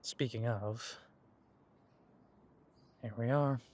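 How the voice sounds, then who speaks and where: An easygoing young werewolf man, as a man acts him out talks straight to the listener in an audio roleplay. His voice sits at a middling pitch, and his manner is relaxed and reminiscing, with frequent soft chuckles. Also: drawn-out words. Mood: wistful.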